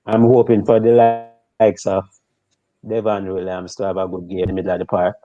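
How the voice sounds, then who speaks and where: A man giving football analysis on a live stream, speaking slowly and hesitantly with many pauses. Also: sits low, completely flat delivery. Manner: slow, measured, hesitant with ums. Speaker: football pundit. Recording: dull, dry remote mic.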